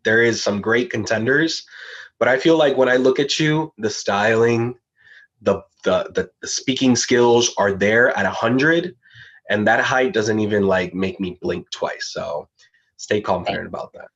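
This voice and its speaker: A man's voice: fairly low pitch, measured pace, a bit bright, lo-fi sound. A man, a pageant analyst who hosts a live online interview, talking in an enthusiastic, supportive way and often repeating words. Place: United States.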